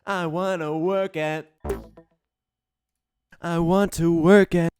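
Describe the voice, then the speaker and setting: A man's voice: on the high side, steady tone, slow pace, a little mellow, soft background music, voice pushed up. A man singing as Mr Bob, whispery. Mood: sung. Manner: raw, unprocessed singing. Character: Mr Bob, a man looking for a job. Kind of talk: sung vocal takes over a music track.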